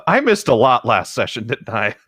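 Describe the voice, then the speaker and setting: A man's voice: medium pitch, sing-song, moderate pace, a bit bright, slightly rough, dry recording, clean studio sound. A man co-hosting a podcast, chatting casually about a book with wry, loose reactions. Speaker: podcast co-host.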